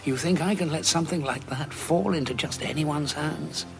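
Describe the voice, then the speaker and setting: An actor playing a grim, war-weary Time Lord with a gravelly voice, deliberate and dryly sardonic, in an audio drama. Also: male voice, on the high side. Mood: grim, haunted. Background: background music.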